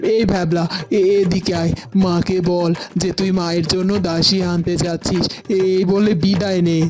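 Man playing a high-voiced gossipy village aunt. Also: little pitch movement, measured pace, noisy background. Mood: sly needling. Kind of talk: cartoon village drama acting.

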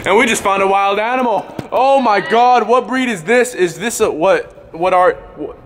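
A man playing a brash, bumbling male wildlife poacher in an improvised comedy skit, excitable and tacking "mate" onto everything. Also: high-pitched, moderate pace. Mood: excited. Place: school building, indoors. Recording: echoey halls with crowd chatter. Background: students chattering.

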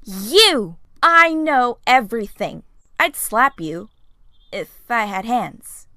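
A woman's voice: a little high, lively intonation, slow, bright, forceful tone, dry recording, clean studio sound, heavily acted. A woman voicing a brash, sassy young female unicorn in a cartoon comic dub, blunt and scornful. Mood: scornful, urgent.

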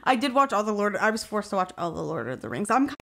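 A woman's voice: mid-range voice, very animated, fast, vocal fry, a bit bright. An American woman in her twenties co-hosting a podcast, bantering casually in a brash, sweary, giggly manner. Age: 20s. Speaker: podcast co-host. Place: small room, indoors. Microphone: podcast microphone on boom arm, close.

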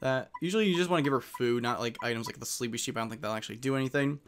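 A man's voice: mid-range voice, rapid-fire, a little hiss or hum. A man giving casual commentary as he plays through a game. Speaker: let's player.

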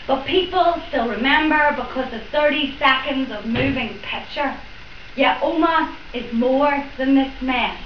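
A woman's voice: sits high, measured pace, slight background noise. A young woman from Northern Ireland with a Northern Irish accent, performing spoken word poetry in a library with a chatty, joking introduction. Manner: chatty and joking between rhyming verses. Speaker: performance poet. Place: library event room. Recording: roomy, distant, dull room recording.

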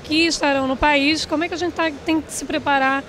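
A woman with a Brazilian accent asking an interview question, pausing between phrases. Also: high voice, fairly even intonation, a bit bright, a little hiss or hum. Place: indoor trade fair booth. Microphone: handheld interview microphone.

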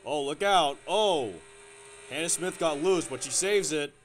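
A man giving play-by-play commentary on a simulated stock car race, getting excited at close moments and restarting sentences with fillers. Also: a little high, measured pace, a little hiss or hum. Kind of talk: amateur sim racing play-by-play commentary.

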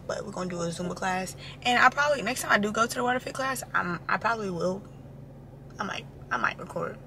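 A woman's voice: mid-range voice, fast, sharp tone. A woman in her twenties chatting casually and informally to her viewers as a vlogger. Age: 20s. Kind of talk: casual vlog chat. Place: parked car and a room at home, indoors. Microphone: camera at arm's length or propped close. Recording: noisy ambient camera audio.

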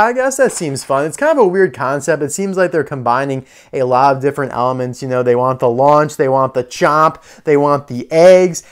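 A man's voice: fairly high pitch, clear, resonant tone. A man giving casual, unscripted hands-on toy commentary, chatting and thinking aloud as he goes. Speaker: toy reviewer. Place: indoor room full of toy displays.

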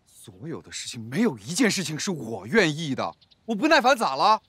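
A man's voice: medium pitch, big pitch swings, normal speed, a bit bright, husky, dry recording, exaggerated voice. An actor in a TV drama playing a put-upon young adjutant, impatient and whiny as he protests. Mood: sullen, impatient. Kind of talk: TV drama acting.

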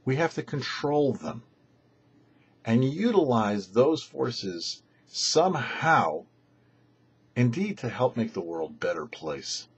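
A middle-aged man giving a short religious teaching as a rabbi, in measured, emphatic, deliberate phrases. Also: medium pitch, sing-song, husky, muffled recording. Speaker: rabbi, show host. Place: small indoor set with cloth backdrop.

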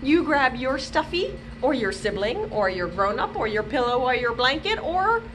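A middle-aged woman with a fairly high, very lively voice leads a storytime for young children. She is expressive and speaks directly to her little listeners. There is some noise behind her in the room. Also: normal speed. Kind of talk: children's read-aloud and storytime hosting. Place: indoors, small library children's room. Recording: noticeable background room noise.

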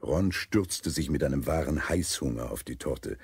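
A man narrating a scripted children's audio story in a husky voice. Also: rumbling low, normal speed, a little mellow, dry recording, clean studio sound.

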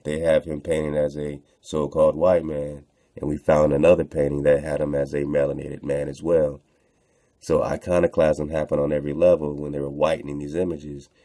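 Male online host, deep gravelly register, slangy, repetitive, unscripted casual commentary. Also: warm tone. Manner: rambling, repeats phrases, slangy "man" and "you know". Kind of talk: casual unscripted commentary while browsing on screen.

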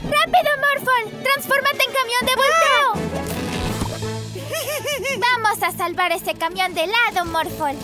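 A woman's voice: very high-pitched, measured pace, pauses often. A woman voicing an eager, commanding little girl adventurer in a children's cartoon dub. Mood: excited, urgent. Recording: loud children's music behind.

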